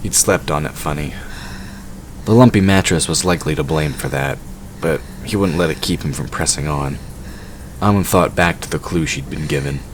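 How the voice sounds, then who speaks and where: A man's voice: very deep voice, moderate pace, noisy background. A man reading scripted narration for a fantasy audio drama.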